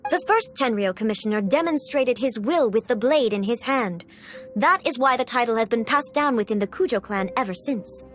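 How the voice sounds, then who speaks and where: An almighty, stern female Shogun for a video game. Her voice sits fairly high and she speaks in formal, commanding, blunt phrases. Music plays softly behind her. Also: animated, moderate pace. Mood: cold, commanding. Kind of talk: scripted video game character acting.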